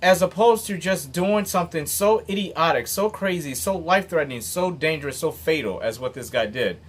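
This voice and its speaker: Husky, blunt man in his late thirties. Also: on the high side, animated, normal speed. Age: 30s. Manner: earnest, blunt and emphatic, with occasional swearing. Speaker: motivational vlogger. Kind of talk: unscripted commentary monologue.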